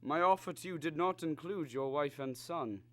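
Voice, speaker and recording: A man acting a sinister alien emissary in a vintage radio drama. He is coolly courteous and measured, with formal wording in a fairly high male voice. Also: close to an everyday voice. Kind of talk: mid-century American radio drama acting.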